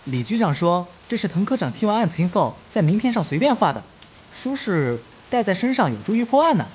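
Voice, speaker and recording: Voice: medium pitch, big pitch swings, fairly quick, a little mellow, lo-fi sound, slight background noise, lightly acted. Someone voicing an easygoing cartoon rookie cop, bouncy up and down delivery, light and casual. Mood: placating. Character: cheerful, easygoing young rookie police investigator. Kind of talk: animated drama voice acting.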